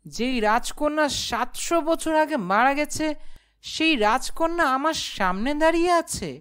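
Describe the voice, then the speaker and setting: Woman acting a young cartoon narrator, high-pitched, very animated, honest, plucky, nervous. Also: a little slow. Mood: amazed. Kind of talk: cartoon first-person narration and dialogue.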